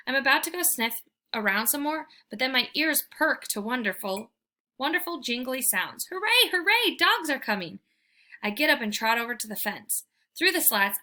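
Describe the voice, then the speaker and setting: A woman reading a children's book aloud as an expressive storyteller. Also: medium pitch.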